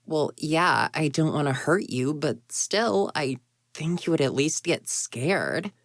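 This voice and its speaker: A woman's voice: sits low, expressive, slow pace, no echo, studio quality, exaggerated voice. A woman voicing a grumpy, proud young female ghost in an intimate roleplay audio drama, huffy and easily flustered. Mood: indignant, incredulous. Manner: huffy, indignant, flustered stammers, conversational.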